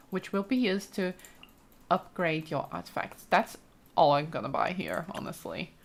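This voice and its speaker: A woman giving casual, chatty let's play commentary, thinking aloud with frequent exclamations as she explores a game. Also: lowish voice. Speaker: gaming streamer, let's play host.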